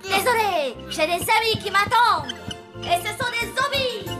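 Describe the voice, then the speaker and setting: An actor voicing an earnest, excitable young boy in a French animated cartoon, with expressive childlike delivery. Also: very high voice, unhurried. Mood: modest, earnest. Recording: music under the voice.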